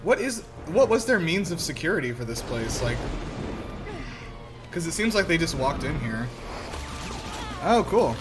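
A man with a slightly high voice gives casual, joking film commentary as a podcast co-host. Film music and dialogue play clearly behind him. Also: sing-song, measured pace, pauses often. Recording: loud film soundtrack playing behind.

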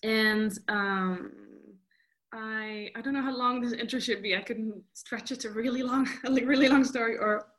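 A woman's voice: on the high side, fairly even intonation, moderate pace, fairly bright tone. A woman, a vocal coach guest chatting loosely in an interview with many fillers. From Netherlands. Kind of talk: casual interview chat.

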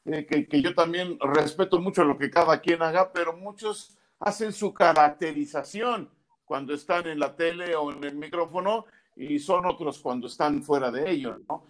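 A man's voice: on the high side, moderate pace. A man, a sports journalist, telling earnest stories about a late colleague in conversation. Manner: storytelling, earnest.

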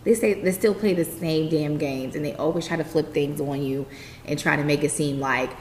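A woman in her twenties co-hosting a podcast, telling her dating stories with animated emphasis. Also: low voice, moderate pace. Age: 20s. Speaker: podcast co-host. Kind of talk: casual chat. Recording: echoey room with faint noise.

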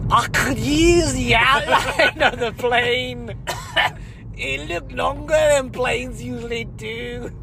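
A podcast host putting on a mocking high voice for an insistent woman eyewitness. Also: vocal fry. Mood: wide-eyed. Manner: high, drawn-out, mocking delivery. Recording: loud traffic noise.

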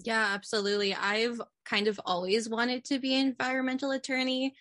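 A woman's voice: fairly high pitch, little pitch movement, a little fast, a bit bright, no room sound. Eager young woman co-host. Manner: casual, eager, with fillers like "kind of" and "like". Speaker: student podcast co-host. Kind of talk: podcast interview.

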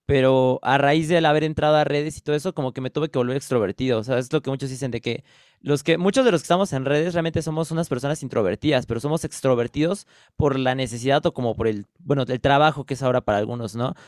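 Smooth-voiced Mexican podcast host. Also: male voice, medium pitch, quick pace, a little mellow, no room sound, studio quality. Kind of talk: casual interview chat.

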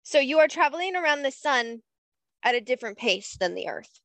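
A young woman co-hosting a casual chat, explaining things slowly with fillers and small laughs. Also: high-pitched, big pitch swings, a bit bright, no room sound. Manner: unhurried, explains facts with frequent fillers and laughs. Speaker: podcast co-host.

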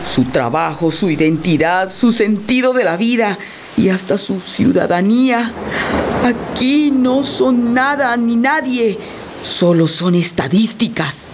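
A woman's voice: mid-range voice, expressive, takes it slowly. A woman playing a compassionate, stubborn wife in a radio play, speaking in an earnest, defiant and impassioned way. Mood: grave, pleading. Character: Ruth, compassionate, stubborn wife defending displaced people. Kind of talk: radio theatre acting. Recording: faint noise, rain behind.

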